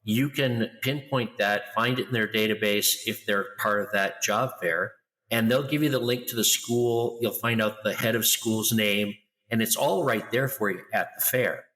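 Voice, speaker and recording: A middle-aged man talking loudly and with lively energy as a guest in an interview. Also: lowish voice, moderate pace, big-room sound, low-quality recording. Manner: loud, animated storyteller. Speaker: guest, international school educator. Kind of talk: conversational interview.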